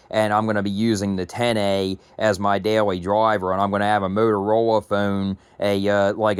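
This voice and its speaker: A man in his early thirties talks to his viewers in a low, flat, meandering way, full of ums and restarts. His voice is clear but a touch raspy from allergies, and the recording is close and dry. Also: dry recording. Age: 30s. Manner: rambling, many ums and restarts. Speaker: tech YouTuber. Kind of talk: casual vlog talk to camera.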